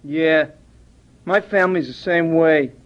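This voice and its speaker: An actor playing a mischievous teenage friend in a radio drama. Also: male voice, fairly high pitch, takes it slowly. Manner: playful, conspiratorial. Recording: dull lo-fi radio recording.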